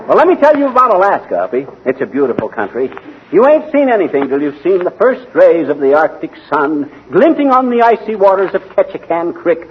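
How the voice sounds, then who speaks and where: Boastful excitable radio husband, folksy tall-tale type, muffled old recording with noise and clicks. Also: male voice, high-pitched, fairly quick. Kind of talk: 1940s radio comedy acting. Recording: noisy, dull 1940s radio recording with clicks.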